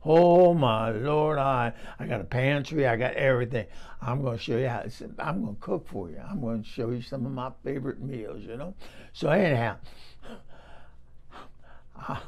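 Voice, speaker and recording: A man's voice: a little high, normal speed, vocal fry, darkish tone, muffled recording. A man voicing a chatty old Southern woman from Tennessee, telling a rambling folksy story with a Southern accent. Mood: gossipy. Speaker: comic character monologue. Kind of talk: comic storytelling.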